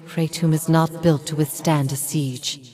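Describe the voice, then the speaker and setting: Fantasy game role: steadfast heretic prophet. Grave, measured, formal, on the low side. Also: female voice, fairly even intonation, mellow, faint music behind. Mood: reflective, earnest. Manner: solemn, measured, formal phrasing. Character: grave, steadfast female heretic prophet haunted by past lives. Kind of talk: dramatic fantasy game voice acting.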